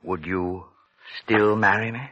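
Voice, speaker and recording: An actor playing a devoted, anxious gentleman in love, hesitant and earnest, in a 1940s radio drama. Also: on the low side, fairly even intonation, unhurried, lo-fi sound. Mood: anxious, lovelorn. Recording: vintage 1940s radio recording.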